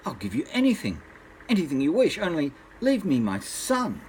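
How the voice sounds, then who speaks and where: A man's voice: on the high side, huge pitch swings, moderate pace, darkish tone, a little hiss or hum, voice pushed up, low-quality recording. A grandfather voicing an anxious young queen who pleads and questions, in a bedtime storybook tale. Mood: pleading. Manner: beseeching, questioning.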